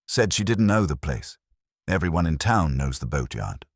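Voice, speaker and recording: A flustered police constable as a male narrator reads him in a detective story. He is keen and deferential, his deep voice moving in a lively way. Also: moderate pace, dry recording, studio quality, exaggerated voice. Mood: flustered, eager. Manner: eager, deferential.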